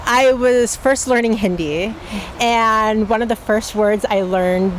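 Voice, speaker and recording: A woman interviewee telling stories in a chatty, animated way outdoors. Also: fairly high pitch, slow pace, a little hiss or hum, low-quality recording. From Los Angeles, United States. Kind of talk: casual storytelling.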